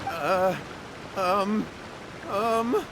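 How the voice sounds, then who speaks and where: A man's voice: sits high, extremely slow, heavy background noise, heavily acted. An actor playing a nervous, cowardly young man in a video game, stammering and flustered. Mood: cornered, nervous. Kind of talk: video game character voice acting.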